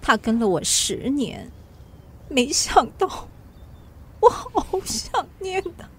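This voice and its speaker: A woman's voice: high-pitched, big pitch swings, slow, fairly bright tone, exaggerated voice. A woman acting a weepy, doting society wife in a radio drama, plaintive, whining and melodramatic. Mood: tearful, grieving. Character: weepy, doting society wife pining for her lost pet.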